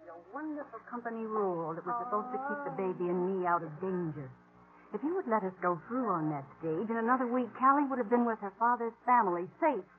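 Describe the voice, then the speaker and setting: A woman's voice: mid-range voice, lively intonation, quick pace, close to an everyday voice. An actress playing a weary, defiant young captive woman in a 1950s radio western, blunt and bitter. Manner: blunt, bitter, plain-spoken. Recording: muffled old 1950s radio recording.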